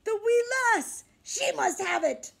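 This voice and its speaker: A woman voicing a loud, blustery male pirate captain who bellows old-time sea slang while reading a storybook aloud. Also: high voice, lively intonation, measured pace, creaky, breathy edge, full character voice. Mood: frantic.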